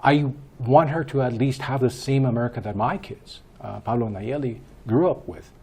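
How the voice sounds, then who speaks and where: Man in his 50s, from Peru, interview guest, measured and hesitant, mid-pitched. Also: warm tone, breathy edge. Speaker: guest, school board candidate. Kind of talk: interview answers.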